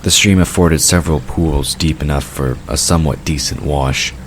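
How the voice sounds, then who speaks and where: A man reading scripted narration for a fantasy audio drama in a measured storytelling manner. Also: a bass voice, noisy background.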